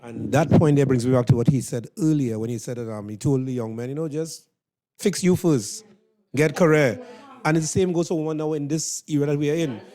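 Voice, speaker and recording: Man, Trinidadian accent, slightly high pitch, mellow tone, interview host, casual questioning. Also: brisk.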